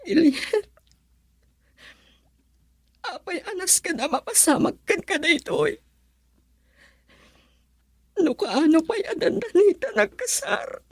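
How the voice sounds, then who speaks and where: A woman's voice: very high voice, big pitch swings, a little slow, long pauses, dry recording, heavily acted. A woman playing a grief-stricken, fearful character in a radio drama, with a tearful, pleading delivery. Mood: shocked. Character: grief-stricken, fearful woman fearing a loved one dead. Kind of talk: Ilocano radio drama acting.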